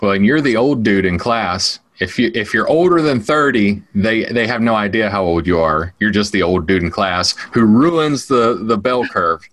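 A man's voice: fairly low pitch, expressive, moderate pace, few pauses, fairly bright tone. A man co-hosting a podcast in casual chat, joking and laughing often. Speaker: podcast co-host.

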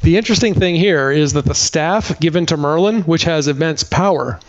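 Male podcast reviewer, high register, sardonic and emphatic comic recapping, slightly muffled recording. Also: normal speed. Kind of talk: comic movie recap, dramatic reading.

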